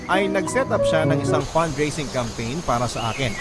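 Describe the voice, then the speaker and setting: A man's voice: a little high, expressive, normal speed, over loud music, natural voice. A man narrating a first-person radio story as a regretful, ailing father. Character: regretful ailing father recounting family betrayal.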